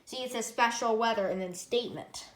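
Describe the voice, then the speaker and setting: A woman giving a casual, unscripted gadget demonstration in a rambling, halting way, often correcting herself. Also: fairly high pitch. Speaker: product reviewer. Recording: echoey room, loud background noise.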